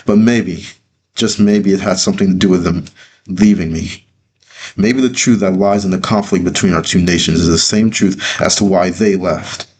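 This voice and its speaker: A man reading his own story as a dramatic first-person narration, earnest, with deliberate pauses. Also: low voice, fairly even intonation, husky.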